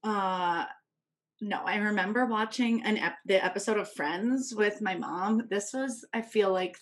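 A woman in her thirties, a podcast guest speaking openly and reflectively about personal experience, seated in a living room at a tabletop microphone. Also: medium pitch. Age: 30s. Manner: open, reflective, thoughtful pauses. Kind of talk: candid personal conversation. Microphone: tabletop podcast mic.

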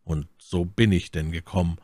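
A man reading aloud, voicing an earnest, devout young officer who speaks in a hushed, grave manner. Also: deep voice, steady tone, moderate pace, dry recording, studio quality, lightly acted. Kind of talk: audiobook character reading.

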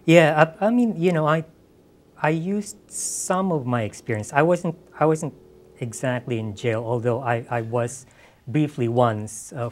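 Male author, middle-aged, Filipino accent, medium pitch, halting conversational delivery in a stage interview. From Philippines. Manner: hesitant, repeats words as he searches. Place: on stage in an auditorium before an audience. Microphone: lapel mic.

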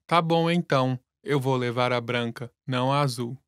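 A man reading scripted lesson dialogue in a plain, relaxed way. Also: mid-range voice, moderate pace, clear, resonant tone, dry recording, clean studio sound. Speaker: language lesson voice actor.

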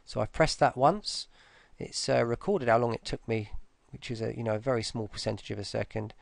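A man talking through a casual screen-recorded software tutorial, informally, with muttered asides to himself. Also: medium pitch, fairly quick, vocal fry, dry recording.

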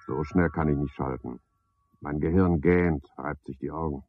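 An actor in a radio play voicing a cynical, self-satisfied, talkative night caretaker, with a pompous, sardonic, theatrical manner. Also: male voice, very deep voice, fairly even intonation, normal speed, no room sound. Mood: smug, musing. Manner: pompous, sardonic, theatrical one-sided conversation. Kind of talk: 1970s German radio play monologue. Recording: slightly dull 1970s radio recording.

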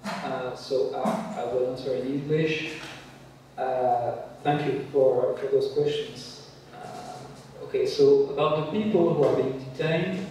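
A man with a French accent, a guest lecturer answering audience questions at a seminar in a measured, explanatory way with frequent hesitations. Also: mid-range voice, warm tone, a little hiss or hum. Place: university seminar room. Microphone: handheld mic, filmed from far back. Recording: echoey room from far off.

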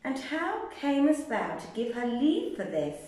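A woman's voice: high voice, sing-song, a little slow, darkish tone. A woman reading aloud who voices a distraught young nobleman, pleading and indignant, in a very echoey room. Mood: anxious.

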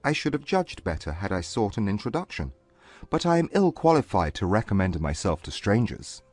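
Haughty, reserved gentleman, played by a male actor, formal and stiff, husky, very dry close sound, period audiobook drama. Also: on the low side, sing-song, a little fast, no echo, faint music behind.

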